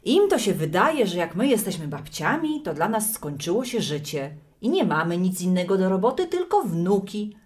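A woman's voice: mid-range voice, big pitch swings, normal speed, few pauses, darkish tone, natural voice. An actress playing a brash, outspoken middle-aged grandmother in an audio play, blunt and nagging. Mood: blunt, opinionated.

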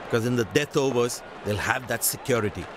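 A man giving brisk, measured cricket commentary lines for a video game. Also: medium pitch, a crowd in the background. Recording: loud game noise behind.

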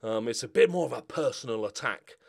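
A man in his forties chatting casually and humorously to viewers as a channel host, animated and jokey. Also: medium pitch, fairly quick, vocal fry, slightly rough. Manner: animated, jokey, sweary, chuckling. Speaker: YouTube gaming channel host. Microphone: desk condenser microphone up close.

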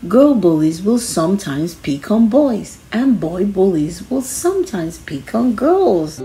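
A woman's voice: medium pitch, big pitch swings, slow pace, warm tone, faint music behind. A woman reading a children's self-help book aloud in an instructive way, stressing key phrases.

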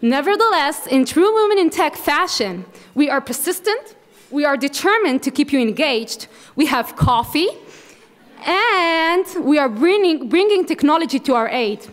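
A woman's voice: high-pitched, lively intonation, normal speed, long reverb tail. A young woman with an Israeli accent moderating a conference session on stage, rousing the audience with a handheld microphone in a large ballroom. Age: young adult. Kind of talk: conference keynote introduction.

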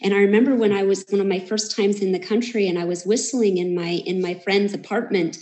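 American female facilitator, conversational and encouraging, mid pitch, echoing room on a video call. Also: fairly even intonation, fairly quick, warm tone. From United States. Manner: chatty, encouraging. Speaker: workshop host. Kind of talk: casual discussion on a video call.

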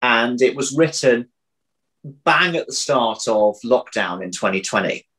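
A man in his thirties chatting casually as an interview guest over a video call, often pausing, repeating words and correcting himself as he thinks. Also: medium pitch, lively intonation, fairly bright tone. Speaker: interview guest, audio drama writer. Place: indoor room at home. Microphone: video call, computer mic.

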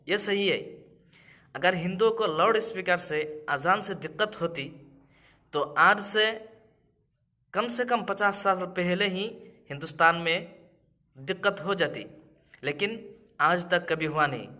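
A man in his thirties speaks to camera as a commentator. His voice is high and sharp-edged, and he drives his points home emphatically, often putting them as questions. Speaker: video commentator. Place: small indoor room with brick wall.

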